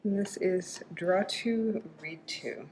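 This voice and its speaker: A woman with a mid-range voice talks informally to camera as a book vlogger. She is chatty and thinks aloud as she goes. There is clear background noise and room ambience around her voice. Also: mid-range voice, takes it slowly, a little mellow, poor audio. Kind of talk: casual chat to camera while playing a reading-list game.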